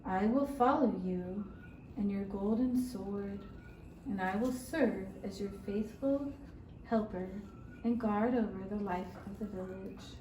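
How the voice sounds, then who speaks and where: A woman's voice: medium pitch, slow, dark tone, natural voice. A woman voicing a defeated, humble male wolf in a children's puppet show, solemn and pleading, in a roomy, distant recording. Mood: solemn pledge. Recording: roomy, distant mic with faint noise.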